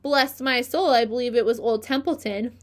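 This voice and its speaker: A woman's voice: high voice, very animated, normal speed, pushed and bright, voice pushed up, exaggerated voice. A woman voicing a lazy, self-pitying male rat who grumbles and mocks. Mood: sarcastic. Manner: sarcastic, mocking, put-upon.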